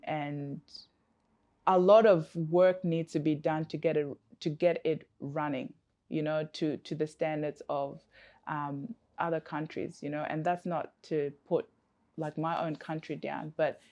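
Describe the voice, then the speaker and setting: Woman from Africa, lowish voice, earnest, reflective, loose conversational answers. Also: darkish tone. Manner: reflective, earnest, many fillers and restarts. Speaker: coach, interview guest.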